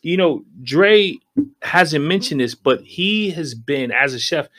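A man's voice: on the high side, animated, slow pace, fairly bright tone. A man hosting a casual podcast interview as a fellow chef, talking emphatically in slangy phrases and repeating words to press his point.

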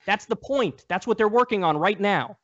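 Combative high-pitched man, lo-fi audio. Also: lively intonation, normal speed, a bit bright. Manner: combative, emphatic, repetitive, swearing. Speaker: debate guest. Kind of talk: heated political debate.

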